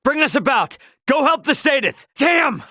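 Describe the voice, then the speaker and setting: An actor playing a brash, hot-headed but loyal young starship captain in a video game, with a blunt, cocky, slangy delivery. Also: male voice, extremely high pitch, big pitch swings, normal speed, pushed and bright, no room sound, studio quality, full character voice. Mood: frantic, shouting. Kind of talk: voiced video game character lines.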